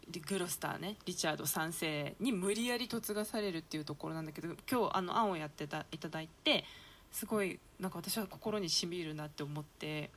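A woman's voice: lowish voice, fast. A woman hosting a casual group chat as a podcast presenter. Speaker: host of a theatre company's talk podcast.

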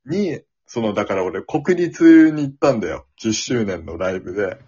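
A man's voice: lowish voice, lively intonation, normal speed, mellow, husky, dull audio. A man co-hosting a podcast in casual, agreeable chat, readily affirming what his partner says. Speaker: podcast co-host.

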